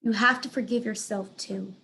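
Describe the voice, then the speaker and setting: A woman's voice: mid-range voice, steady tone, moderate pace, vocal fry, bright, forceful tone. Woman voicing a tough, blunt, kind lady dog. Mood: forgiving. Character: Boss, tough, calm, kind female dog. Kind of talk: children's storybook character voice.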